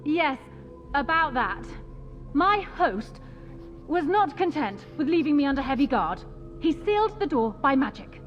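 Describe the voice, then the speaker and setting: Poised captive game noblewoman, very high lively voice, muffled and reverberant. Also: unhurried, soft background music. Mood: composed, urgent. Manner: formal, poised. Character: composed, commanding captive noblewoman. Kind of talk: video game cutscene acting. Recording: dull, reverberant sound, as through a door.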